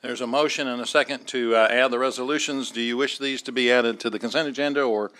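Middle-aged man, mayor presiding over a council session; halting, filler-heavy, formal delivery on a desk mic. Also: mid-range voice, steady tone, fairly bright tone. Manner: halting, many "uh" fillers, formal procedural phrasing. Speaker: mayor chairing a city board meeting. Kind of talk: chairing a public meeting. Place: large council chamber, seated at dais. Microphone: desk microphone.

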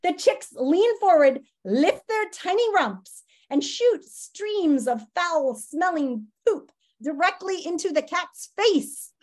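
A woman in her fifties reads aloud to children in a high voice. Her delivery swings up and down with dramatic expression, and she breaks off for teacherly asides and questions. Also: expressive, takes it slowly. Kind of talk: animated read-aloud of a nonfiction children's book with asides. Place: small room at home.

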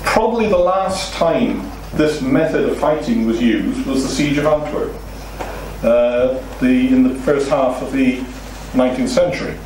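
Welsh lecturer in a very echoey room. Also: male voice, medium pitch, measured pace, a little hiss or hum. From Wales. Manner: steady explanatory delivery with rhetorical questions, gesturing freely. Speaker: seminar speaker. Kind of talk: illustrated lecture to a seated audience. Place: meeting room with a seated audience. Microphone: far room recording, no mic seen. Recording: very echoey room, distant mic.

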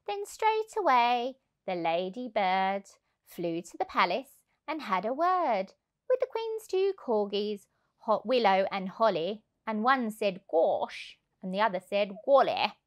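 A woman, around her thirties, reading a children's rhyming storybook aloud in an expressive, rhythmic way. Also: medium pitch, measured pace. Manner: animated, rhythmic, emphatic delivery. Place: indoors on a sofa. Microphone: clip-on lapel mic.

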